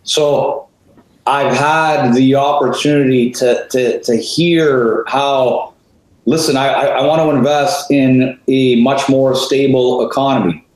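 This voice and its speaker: A man's voice: medium pitch, fairly even intonation, slow pace, darkish tone. Chatty man in a very echoey room. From Canada. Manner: talkative, many fillers, rhetorical questions. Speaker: co-host, real estate advisor. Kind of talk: conversational interview.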